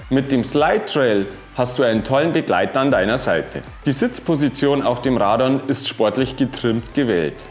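A man in his thirties giving a scripted bike review, reading specifications in an even, informative way. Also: mid-range voice. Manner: even, informative delivery dense with specs. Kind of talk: scripted product review narration. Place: wooden-walled workshop room, indoors. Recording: roomy sound with faint music.

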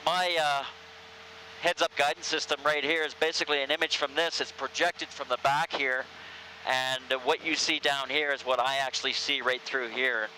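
Older airline captain, relaxed cockpit explainer, very bright edge in the voice, headset mic, faint cabin hum. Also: male voice, medium pitch, moderate pace, rough recording. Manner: relaxed, explanatory, many fillers. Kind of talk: cockpit commentary. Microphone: aviation headset.

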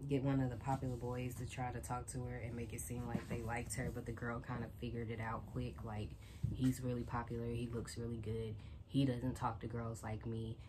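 A woman's voice: very deep voice, flat delivery, normal speed, noisy background. A young woman chatting casually while she tidies her bedroom, rambling through a book plot with lots of fillers, moving near and away from a camera set on a shelf. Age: young adult. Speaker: vlogger. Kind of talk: casual chat while tidying. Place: small bedroom with bookshelf and TV, indoors. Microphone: phone or camera set on a shelf, she moves near and away.

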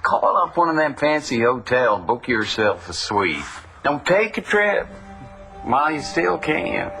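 A folksy older ranch hand. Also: male voice, mid-range voice, sing-song, measured pace, music in the background. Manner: folksy, drawling.

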